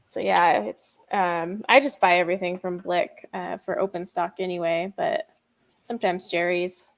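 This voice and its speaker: A woman's voice: fairly low pitch, little pitch movement, moderate pace. Woman, live stream host, casual chat, laughs a lot, loose and rambling. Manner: chatty, laughs often, many fillers.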